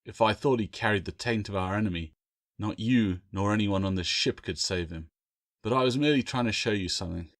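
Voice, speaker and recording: A man voicing a grim, wary pirate captain in a fantasy audiobook, measured, ominous and firm. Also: on the low side, natural voice. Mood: stern.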